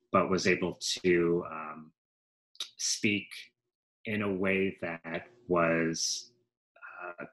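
A man's voice: deep voice, fairly bright tone, low-quality recording. A man in his forties, a guest actor, chatting casually on a video call through a headset mic from a home room, often correcting himself mid-sentence. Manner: rambling, self-correcting, frequent asides. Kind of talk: casual interview.

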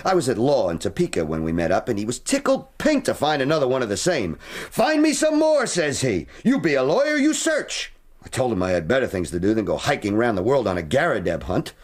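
A man's voice: medium pitch, huge pitch swings, brisk. An actor playing a brash, impatient American man from Kansas with a folksy, blustery way of talking, in a radio drama. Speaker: visitor from Kansas.

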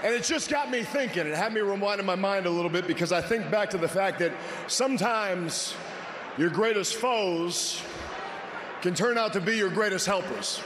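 A man in his forties cutting a cocky, shouted wrestling promo on a handheld mic in a large indoor arena. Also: high voice, normal speed. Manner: shouting, drawling, cocky swagger. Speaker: pro wrestler. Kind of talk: wrestling promo to a live crowd. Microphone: handheld microphone. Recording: echoey arena, crowd noise.

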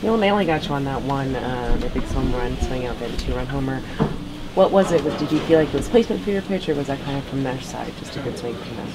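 Male reporter over loud background noise. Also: medium pitch, animated, fast talker. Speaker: sports reporter. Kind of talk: conversational postgame questioning. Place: team locker room, indoors. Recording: very noisy locker room.

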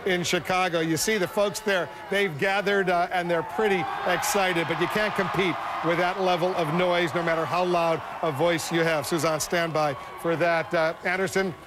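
A man's voice: high-pitched, steady tone, moderate pace, few pauses, a crowd in the background, noisy background. A man anchoring live election night coverage on television, speaking emphatically. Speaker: news anchor. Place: television news studio.

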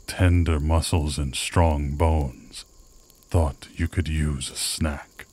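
A man playing a menacing, hungry werewolf pack underling in an ASMR roleplay, talking in a slow, threatening way. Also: a bass voice, fairly even intonation, darkish tone, breathy edge, full character voice.

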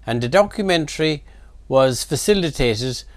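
An Irish man in his sixties narrates a documentary from a script. His voice sits a little high, and his phrasing is flowery and promotional. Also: expressive, normal speed, dry recording.

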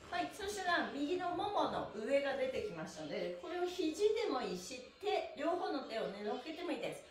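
A middle-aged woman leading a stretching class, cheerful and encouraging, with drawn-out rhythmic chanted counts as she moves on the floor. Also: sits high, big pitch swings. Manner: speaking while exercising on the floor. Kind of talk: exercise instruction with chanted counts. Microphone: camera a few metres away. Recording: echoey room, distant microphone.

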